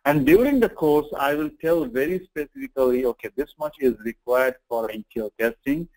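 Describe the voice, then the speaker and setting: A man's voice: mid-range voice, brisk, darkish tone, rough recording. A man teaching an online class informally, explaining things step by step with repetition and check-in questions. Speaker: online course instructor.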